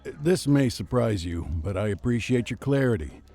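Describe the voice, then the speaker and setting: A man playing a world-weary veteran police detective in a noir video game, dry, sardonic and deadpan. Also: mid-range voice, normal speed, faint music behind, natural voice.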